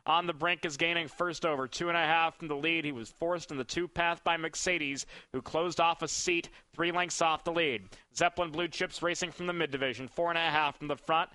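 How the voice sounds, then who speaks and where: A man calling a harness race, with his delivery rapid and building in excitement. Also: a little high, smooth, dry recording, low-quality recording. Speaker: race caller. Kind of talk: live race call.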